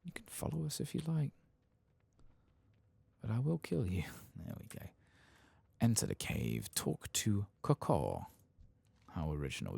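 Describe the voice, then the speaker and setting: A man doing soft-spoken, casual playthrough commentary as an ASMR gaming streamer, reading aloud and muttering asides. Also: sits low, creaky, slightly rough, dry recording.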